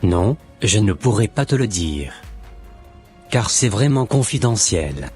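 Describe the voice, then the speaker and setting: Low-voiced devout man, acted by a man, measured. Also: very animated, a little mellow, slightly rough, soft background music, exaggerated voice. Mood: calm. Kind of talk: scripted cartoon dialogue.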